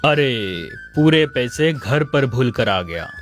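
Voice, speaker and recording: A man's voice: medium pitch, animated, slow pace. Man acting a glib village swindler over music. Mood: glib, friendly. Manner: glib, falsely innocent. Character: smooth-talking swindling male sheep thief. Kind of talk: Hindi animated moral story dialogue. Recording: music under the voice.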